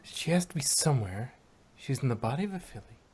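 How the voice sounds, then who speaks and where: A man voicing a worried, earnest, gentle female pony in a storybook reading, soft and anxious. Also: mid-range voice, sing-song, brisk, breathy edge.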